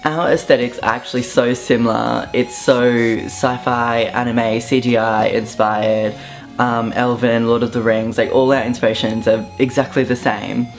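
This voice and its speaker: A young man from Southeast Queensland with an Australian accent, talking casually to camera with an offhand, relaxed manner and frequent ums. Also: medium pitch, normal speed, soft background music. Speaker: vlogger. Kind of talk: casual vlog monologue.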